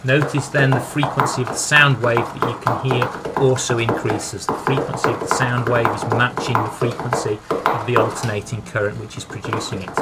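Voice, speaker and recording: A man's voice: mid-range voice, heavy background noise. A man narrating a science lesson as a teacher, explaining a demonstration step by step in a didactic way. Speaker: physics teacher.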